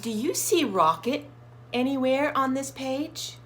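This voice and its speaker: A woman reading a storybook aloud to young children, expressive and encouraging, putting questions to her listeners. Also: high voice, a little slow, a little hiss or hum.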